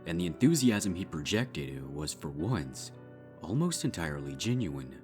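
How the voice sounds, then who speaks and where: A man reading dark fiction as a scripted narrator, measured and with dramatic pauses. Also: low voice, big pitch swings. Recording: music behind the voice.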